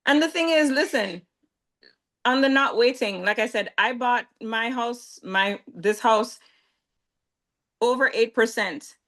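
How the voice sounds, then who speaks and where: A woman's voice: fairly high pitch, slow pace, long pauses, a bit bright. A woman realtor on a webinar panel, explaining in an easy, conversational way over a video call.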